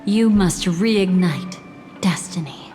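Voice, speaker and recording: An actress playing an enigmatic, composed woman in a video game, guiding a dreamer in a measured, solemn and cryptic way. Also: fairly low pitch, loud music behind the voice. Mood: hushed, intent. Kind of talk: scripted video game dialogue. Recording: long hall-like reverb.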